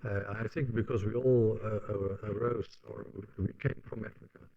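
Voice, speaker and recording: A man's voice: on the low side, steady tone, normal speed, creaky, muffled recording. Dutch-accented man lecturing in a reverberant hall. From Netherlands. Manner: hesitant, many "uh" fillers and restarts. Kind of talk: opening remarks of a lecture.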